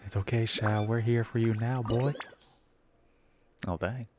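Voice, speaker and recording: A woman's voice: very deep voice, fairly even intonation, measured pace, noisy background. A woman streamer giving casual, slangy reactions while she plays a video game. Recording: game music behind the voice.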